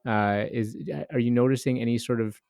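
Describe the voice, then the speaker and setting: A man's voice: medium pitch, level tone, a little fast, darkish tone, no echo, studio quality. A man hosting a podcast, speaking in an informal, conversational way. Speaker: podcast host. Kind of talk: podcast intro and casual interview chat.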